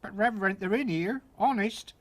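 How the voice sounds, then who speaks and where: Guy doing a squeaky whiny cook lady, rough-talking, storybook voice. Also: moderate pace. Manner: whining, simpering, rough-spoken. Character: shrill working-class woman cook, whiny.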